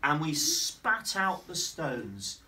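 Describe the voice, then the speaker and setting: Magical flying babies from a picture book, bossy and prim, drawing every word out slowly and a touch higher, as a man puts them on. The voice carries from across a classroom. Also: wildly swooping pitch, pushed and bright, far from the mic, a little hiss or hum, exaggerated voice. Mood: boastful.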